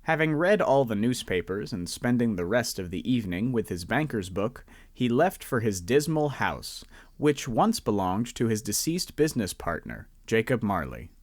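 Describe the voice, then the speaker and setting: Expressive male storybook narrator. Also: lowish voice, moderate pace, no room sound, clean studio sound.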